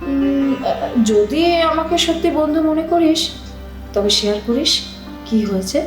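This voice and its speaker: A woman playing an affectionate, scatterbrained younger sister in an audio drama, coaxing and expressive. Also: high voice, measured pace. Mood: coaxing, concerned. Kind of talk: Bengali audio drama acting. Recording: echoey room, music bed.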